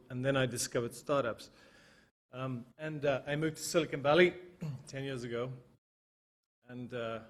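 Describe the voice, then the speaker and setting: A man introducing himself on a panel in a relaxed, anecdotal way with frequent ums. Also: medium pitch. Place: auditorium.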